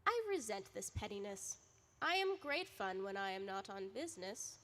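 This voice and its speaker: A woman playing Death in a radio drama as a formal, deadpan and theatrical reaper with dry wit. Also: mid-range voice, normal speed, slight background noise. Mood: wry, mildly offended.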